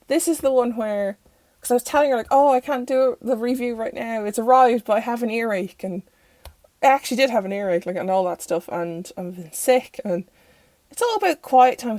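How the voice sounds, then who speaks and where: A young Irish woman talks through a review in a loose, rambling way, her voice croaky as she gets over being sick. Her voice sits a little high for a woman, and the sound is close and dry with no room echo. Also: darkish tone. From Ireland. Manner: chatty and rambling, self-interrupting. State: croaky, recovering from illness. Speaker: tarot channel host. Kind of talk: casual deck review. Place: bedroom at home.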